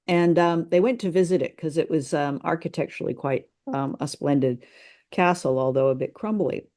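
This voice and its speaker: A woman co-hosting a podcast, explaining things in casual discussion and thinking aloud in a halting way. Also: low voice, mellow. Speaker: podcast co-host.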